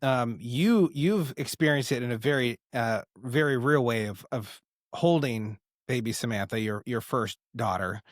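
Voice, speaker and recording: A man hosting a podcast, asking questions gently and haltingly, with many restarts. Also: medium pitch, dry recording, studio quality. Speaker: podcast host. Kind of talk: interview questions.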